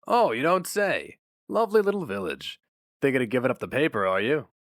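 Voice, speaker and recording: A man's voice: medium pitch, sing-song, brisk, fairly bright tone, no echo, studio quality, pitched above the performer's own voice. A man voicing a polite, unimpressed young male interviewer with a pleasant, philosophical manner.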